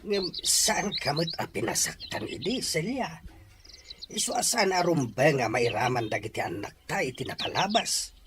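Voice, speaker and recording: A man's voice: fairly high pitch, big pitch swings, normal speed, background noise. A man with a Filipino accent playing a sorrowful, earnest middle-aged father in an audio drama. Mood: pleading. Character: regretful, pleading middle-aged father, once too poor. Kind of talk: Ilocano audio drama acting.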